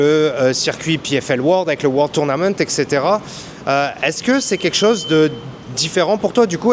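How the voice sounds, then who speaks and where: A podcast host interviewing a fighter in a gym, building long winding questions full of hesitations. His voice sits slightly high, and a low rumble and faint noise hang in the background. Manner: rambling questions with many fillers. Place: boxing gym.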